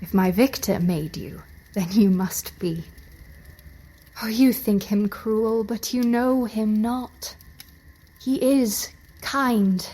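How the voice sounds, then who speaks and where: A woman playing a brave, compassionate wife in an audio drama, speaking formally and earnestly in measured phrases. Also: medium pitch, mellow, rough recording. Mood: earnest, sorrowful.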